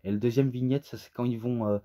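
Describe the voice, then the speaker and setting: A man who is a native French speaker, giving a casual, unscripted review in a halting way, often searching for words. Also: mid-range voice, steady tone, warm tone. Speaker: amateur reviewer.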